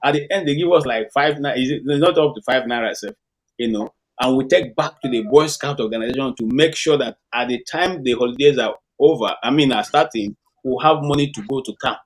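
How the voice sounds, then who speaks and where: A man's voice: medium pitch, fairly quick, poor audio. A middle-aged Nigerian man telling stories emphatically and asking rhetorical questions in a conversational discussion.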